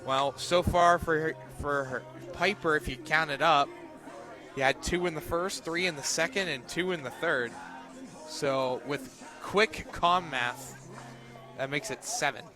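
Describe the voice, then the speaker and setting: A man with a slightly high voice works as a play-by-play announcer on a softball broadcast. He calls each pitch and count live, outdoors at the field. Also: unhurried, over music.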